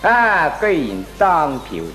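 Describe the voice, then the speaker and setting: A man playing a nosy, excitable pal in a comedic radio drama, teasing and exclaiming in a Hong Kong Cantonese accent. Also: fairly high pitch, slow, soft background music, heavily acted. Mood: teasing, surprised. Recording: roomy, echoing radio drama recording.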